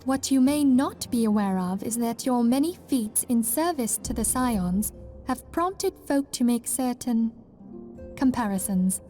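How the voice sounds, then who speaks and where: A woman's voice: a little high, expressive, unhurried, mellow, soft background music. A woman voicing a solemn, gracious leader of a heroic order in formal, earnest fantasy game dialogue. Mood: earnest, hopeful.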